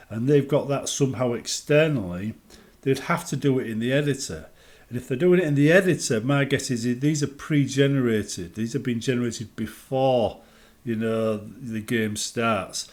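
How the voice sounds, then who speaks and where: A man's voice: mid-range voice, darkish tone. A man giving casual, unscripted commentary as a gaming creator, thinking aloud as he explains and gives his opinions. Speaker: gaming video creator and modder.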